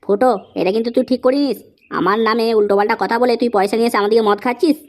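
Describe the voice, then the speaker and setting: A woman voicing an excitable, crude young male slacker in a comedy cartoon. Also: a little high, racing, natural voice. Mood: indignant. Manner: crude, slangy.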